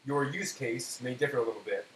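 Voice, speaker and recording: A man's voice: medium pitch, fairly quick, a bit bright. Man chatting casually in an echoey room. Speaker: host of a cooking segment. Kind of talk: casual cooking show chat. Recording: echoey room with faint hiss.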